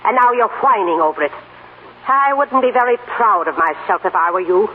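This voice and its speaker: A woman's voice: mid-range voice, expressive, fairly quick, lightly acted. An actress playing a stern, blunt old grandmother in a 1940s radio drama, brusque and commanding. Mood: stern, challenging. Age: older. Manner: brusque, commanding, plain-spoken. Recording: scratchy, narrow-band 1940s radio broadcast.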